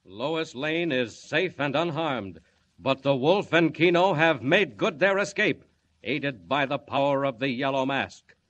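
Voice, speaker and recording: Man, mid-pitched, dramatic announcer, urgent scripted narration, 1940s radio serial style. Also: expressive, unhurried, a bit bright, muffled recording, a little hiss or hum.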